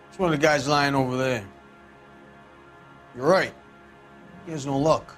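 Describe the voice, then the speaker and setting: A man's voice: medium pitch, unhurried, fairly bright tone, faint music behind, lightly acted. Shifty, streetwise crook mechanic played by a man. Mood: grudging. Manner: wheedling, sarcastic, streetwise. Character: shifty male crook mechanic, defiant, evasive wise guy. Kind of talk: cinematic video game acting.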